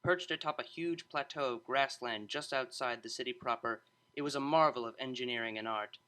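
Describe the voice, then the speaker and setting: A man's voice: medium pitch, a little fast, bright, forceful tone. A man reading a scripted story aloud as an audiobook narrator.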